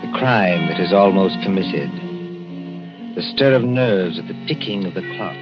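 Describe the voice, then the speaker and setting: A man's voice: deep voice, moderate pace. A man announcing a 1940s radio mystery drama in a dramatic, suspenseful manner. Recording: dull old 1940s radio recording with music.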